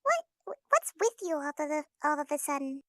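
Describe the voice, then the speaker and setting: A woman voicing an excitable young anime girl in a visual novel, with an exaggerated, drawn-out delivery. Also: high voice, no room sound, clean studio sound.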